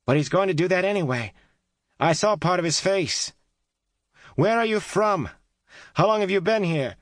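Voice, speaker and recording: A man voicing a brave, desperate young woman doctor held captive, shouting and pleading through a wall. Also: high-pitched, animated, moderate pace, no room sound, clean studio sound, pitched above the performer's own voice. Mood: defiant.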